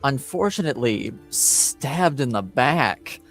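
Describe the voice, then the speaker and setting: A man runs a tabletop game as its game master, recapping the story casually. His pitch is ordinary and his pace is slow, with many halting pauses. Faint music plays underneath him. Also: animated, a bit bright. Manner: halting, pausing often mid-sentence. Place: remote online stream call. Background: music playing underneath.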